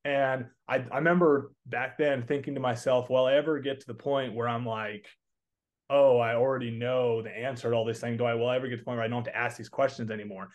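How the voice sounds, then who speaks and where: A man's voice: medium pitch, steady tone, fast talker. A man in his twenties from Texas with a Southern accent, answering interview questions in an earnest, rambling way with many fillers. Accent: Southern American. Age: 20s. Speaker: guest, young entrepreneur.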